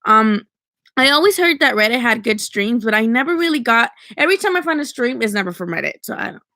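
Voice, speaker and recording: A woman's voice: fairly high pitch, animated, fast talker, pushed and bright, dry recording. Chatty young Mexican woman, dry sound. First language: Spanish. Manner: chatty, opinionated, swears casually, many fillers. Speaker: boxing streamer answering chat. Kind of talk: casual livestream chat. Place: at home.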